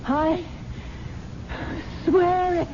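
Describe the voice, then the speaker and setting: An actress playing a weak, drugged wife who falters and whispers as she slowly realizes she has been poisoned. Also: extremely high pitch, fairly even intonation, exaggerated voice. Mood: weak, tearful. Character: rich wife, drugged and dying, vowing revenge. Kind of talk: 1970s radio drama acting. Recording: dull old radio broadcast with hiss.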